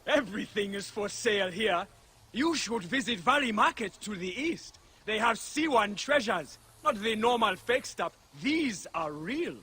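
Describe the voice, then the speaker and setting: Pushy game merchant: high-pitched, bright, forceful, coaxing sales patter. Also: male voice, animated, measured pace, slight background noise, heavily acted. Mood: eager, coaxing. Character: eager, pushy male street trader of curios. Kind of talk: video game character acting.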